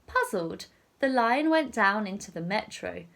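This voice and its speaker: A woman teacher reading a picture story aloud to young children in an expressive, deliberate storytelling manner. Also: mid-range voice, gravelly.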